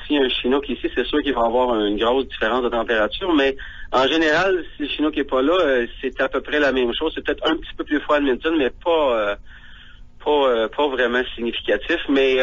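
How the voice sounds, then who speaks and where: A man's voice: medium pitch, a bit bright, muffled recording. A man from Ontario, a guest on a radio phone interview who talks hesitantly, with frequent fillers.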